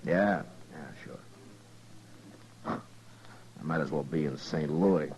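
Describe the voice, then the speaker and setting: An actor playing a brooding, weary, principled western lawman, terse and gruff, in a 1950s radio drama. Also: a bass voice, slow pace, a little mellow, slight background noise. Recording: muffled vintage radio recording.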